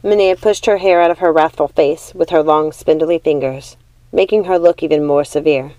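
A woman's voice: low voice, moderate pace, warm tone, no room sound, poor audio. A woman reading a story aloud as an audiobook narrator, in scripted narration. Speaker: audiobook reader.

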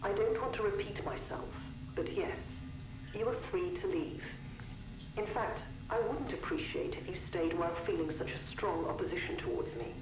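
An actress playing a composed, authoritative woman scientist in charge, measured and formal, in a video game audio log. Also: medium pitch, close to an everyday voice. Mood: cool, controlled. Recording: roomy, distant, noisy cassette tape recording.

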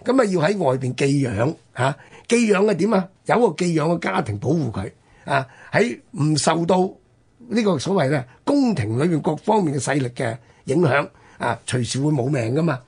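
A man's voice: a little high, sing-song, moderate pace, darkish tone, breathy edge. A man with a Hong Kong Cantonese accent telling history conversationally as a radio host, explaining with rhetorical questions and interjections.

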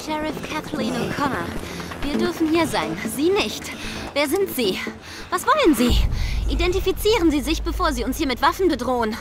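A woman playing an assertive small-town sheriff in a radio drama, with a sharp, direct way of talking. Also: high voice, very animated, normal speed, few pauses, noisy background. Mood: forceful. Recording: audio drama with loud music score.

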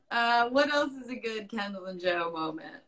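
A young woman chatting casually on a livestream video call, bubbly and quick to laugh, speaking into a phone held at arm's length. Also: on the high side, fairly bright tone. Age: young adult. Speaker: livestream co-host. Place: bright room indoors.

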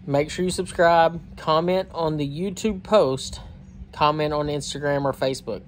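A man's voice: on the high side, moderate pace, slight background noise. A man, hosting a fishing channel and talking informally straight to a phone camera on a cabin porch. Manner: talkative, informal, uses y'all. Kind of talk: casual direct-to-camera vlog. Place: outdoor porch of a wooden cabin. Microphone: phone camera at arm's length.

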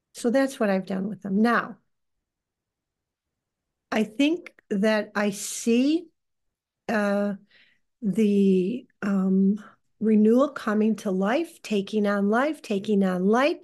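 A woman in her sixties giving informal religious teaching on a group call, speaking in an earnest, deliberate, halting way. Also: fairly high pitch, darkish tone. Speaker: leader of a lay religious group. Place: living room, indoors. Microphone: computer on a video call.

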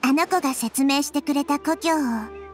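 A woman voicing a gentle wise girl goddess. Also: high voice, moderate pace, faint music behind, lightly acted. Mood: calm. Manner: soft, composed, explanatory. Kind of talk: anime game voice acting.

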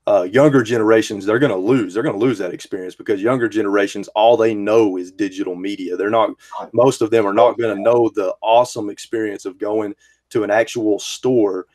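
A man's voice: fairly low pitch, fairly even intonation, a little fast, a little mellow. A man of thirty hosting a casual video-call discussion, speaking earnestly and emphatically from his game room with a headset and desk mic. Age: 30s. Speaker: host. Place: game room full of collectibles.

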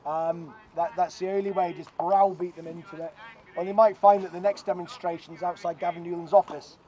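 A man's voice: high voice, fairly even intonation, brisk, dark tone. A middle-aged man organising a protest, urging people through a megaphone with a practical, pushy manner. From Scotland. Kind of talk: street protest speech. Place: outdoors by a hotel car park. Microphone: handheld megaphone. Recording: outdoor megaphone with crowd noise.

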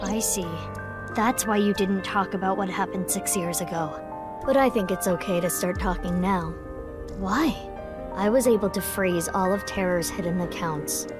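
A woman voicing a composed young female agent in a video game, speaking in a calm, matter-of-fact way. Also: medium pitch, moderate pace, close to an everyday voice. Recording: game audio with background music.

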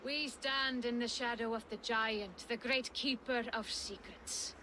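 A woman's voice: on the high side, fairly even intonation, measured pace, sharp tone, slight background noise. A woman playing a solemn, cryptic Norse seeress in a video game, speaking portentously in archaic phrasing. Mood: grave, cryptic. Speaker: seer character in a video game. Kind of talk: dramatic video game acting.

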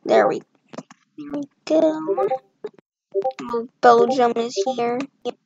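Woman, slow-paced casual self-talk, rambling asides, voice on the higher side. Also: creaky, darkish tone, lo-fi sound, a little hiss or hum. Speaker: map-making video creator. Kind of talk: casual commentary while drawing.

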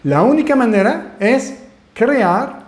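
A man's voice: very high-pitched, slow, roomy sound. French-Canadian man hosting a webinar. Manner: animated, asks the audience questions. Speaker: online marketing mentor. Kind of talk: webinar presentation.

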